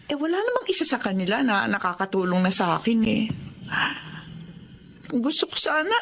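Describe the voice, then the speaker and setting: A woman's voice: fairly high pitch, very animated, moderate pace, gravelly, a bit bright, a little hiss or hum, heavily acted. An actress playing a worried older widowed mother of grown sons in a radio drama, speaking with a Filipino accent in a weary, pleading way.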